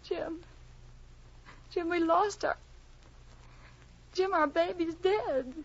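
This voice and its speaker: High-voiced, anxious, devoted wife, radio drama. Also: female voice, expressive, moderate pace, a bit bright, slightly rough, highs cut off. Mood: distraught. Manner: earnest, pleading, emotional. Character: anxious, loving pregnant wife, later sad and reflective narrator. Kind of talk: melodramatic scripted radio drama acting. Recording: vintage radio drama with music behind.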